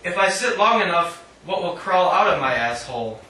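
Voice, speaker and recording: Male poet, live reading, wry delivery, slightly high voice, recorded at a distance with strong room echo. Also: expressive, moderate pace, sharp tone. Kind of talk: live poetry reading with casual asides. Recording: roomy, distant mic, faint noise.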